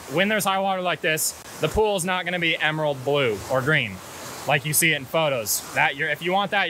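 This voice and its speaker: Bright-voiced young American man over rushing water. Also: fairly high pitch. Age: 20s. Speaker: hiking and photography guide. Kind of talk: casual vlog explanation. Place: outdoors in a canyon beside rushing water. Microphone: action camera at arm's length. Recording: hiss and loud rushing-water noise. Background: rushing water, hiss.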